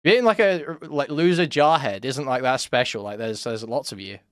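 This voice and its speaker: A man's voice: mid-range voice, normal speed, dry recording, clean studio sound. A man in his thirties, a streamer, talking in a sarcastic, mocking way while reacting to a clip. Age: 30s. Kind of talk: casual livestream commentary.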